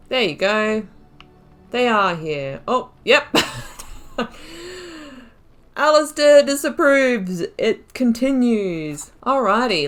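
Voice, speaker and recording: A woman hosting a playthrough, thinking aloud in casual, chatty and reflective gameplay commentary. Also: a little high. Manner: chatty, reflective, often laughing at own mistakes.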